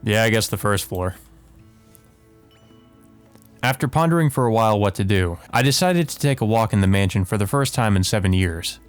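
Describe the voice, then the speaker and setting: Lively man reading a visual novel. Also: on the low side, normal speed, long pauses, clear, resonant tone, soft background music. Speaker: let's play host. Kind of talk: visual novel read-aloud with casual commentary.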